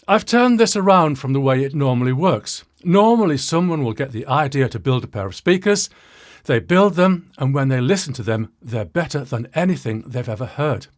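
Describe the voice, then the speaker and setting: A British man in his sixties telling a story to viewers as a presenter, in a wry, self-deprecating way with dramatic pauses and asides. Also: mid-range voice. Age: 60s. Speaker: presenter of an audio channel.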